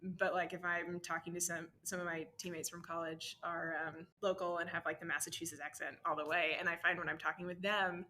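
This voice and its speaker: A woman answering interview questions in a chatty, animated way. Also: fairly low pitch, fairly quick, slight background noise.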